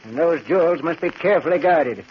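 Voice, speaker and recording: An actor playing a grieving, vengeful old man in a 1940s radio drama, speaking with grave, deliberate intensity. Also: fairly high pitch, lively intonation, breathy edge. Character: grieving, vengeful old man, once wealthy, coldly determined. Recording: muffled, hissy 1940s radio recording.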